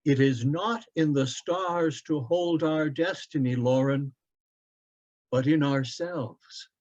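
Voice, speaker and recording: Man playing a gentle, slow-spoken wise father. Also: on the high side. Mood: reverent. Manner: warm, reverent, deliberate. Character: gentle, wise father teaching his young son. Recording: dull, lo-fi online event audio.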